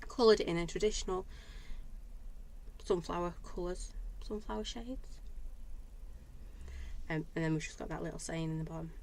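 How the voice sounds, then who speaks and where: A woman with a slightly lower, croaky voice talks chattily through handmade cards on a live stream. She greets viewers by name as she goes. Faint room ambience sits behind her. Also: normal speed, long pauses, a little mellow, slight background noise. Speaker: craft stamping demo host. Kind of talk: live-stream craft show-and-tell.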